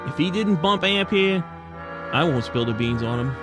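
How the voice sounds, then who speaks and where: Casting: male actor as hardboiled but soft-hearted penguin detective, highish voice, swinging delivery, old-time slang, radio drama with music underneath. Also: animated, moderate pace, natural voice. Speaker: lead actor and narrator.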